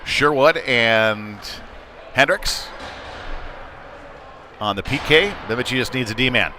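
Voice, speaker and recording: A man's voice: medium pitch, slow. A man calling live youth ice hockey play-by-play in a folksy, colloquial way. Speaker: play-by-play commentator. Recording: clear background rink noise.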